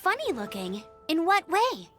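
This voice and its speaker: An eager young female knight scout in a video game. She sounds high and animated, brisk and sure of herself, over faint music. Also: exaggerated voice. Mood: alert.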